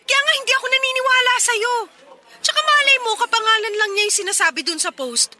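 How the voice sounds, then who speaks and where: A woman's voice: very high voice, fast. An actress playing an indignant engaged woman in a radio drama, accusatory and defensive as she stands up for her fiancé. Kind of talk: Filipino radio drama acting.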